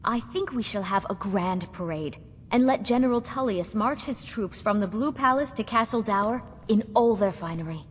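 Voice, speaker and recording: A woman's voice: normal speed, natural voice. An actress playing an earnest, naive and entitled young noblewoman ruler in a fantasy game, speaking formally. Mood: eager. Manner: formal, regal. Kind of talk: fantasy game character acting. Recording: reverberant hall, faint rumbling noise.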